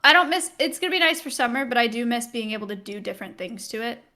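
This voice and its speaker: A woman's voice: a little high, quick pace, sharp tone. A woman in her early twenties talking casually as a co-host on a podcast. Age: 20s. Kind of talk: casual chat.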